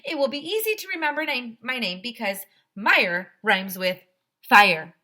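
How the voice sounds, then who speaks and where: A woman voicing a showy lady firefighter from a children's storybook, talking loudly like a presenter to schoolchildren. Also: fairly high pitch, animated, unhurried, voice pushed up, full character voice. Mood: cheery. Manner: loud, bright, presenter-like.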